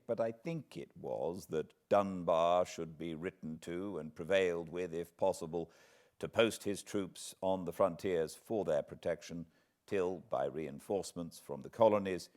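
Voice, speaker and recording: A British man, an audiobook narrator, reading a historical autobiography aloud in a measured, expressive storytelling manner. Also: low-pitched. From Britain.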